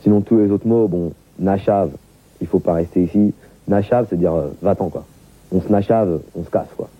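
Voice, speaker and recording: A man's voice: low voice, normal speed. A man from Montreuil with a Paris-suburb accent, talking loosely in an interview, full of slang and fillers, on a tinny lo-fi recording with music behind. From Montreuil, France. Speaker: reggae artist interviewee. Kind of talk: casual interview talk.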